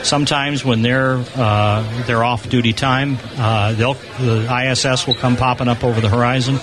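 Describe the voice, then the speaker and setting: A man's voice: mid-range voice, fairly even intonation, normal speed. An American man explaining things informally and enthusiastically as a spokesman in an interview. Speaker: amateur satellite organization spokesman being interviewed. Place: convention hall exhibition booth. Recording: busy crowd noise behind, convention floor. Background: crowd chatter.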